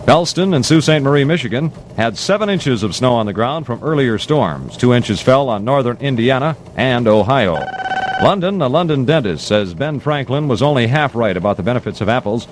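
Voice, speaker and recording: A man's voice: medium pitch, a little hiss or hum. An American man reading a 1960s radio news bulletin with formal, punchy headline delivery, on an old AM aircheck recording.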